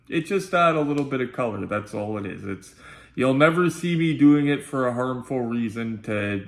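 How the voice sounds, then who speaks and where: A man with a nasal voice, chatting informally to viewers as he hosts a casual unboxing vlog. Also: medium pitch. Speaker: video host and collectibles unboxer. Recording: roomy, echoey sound.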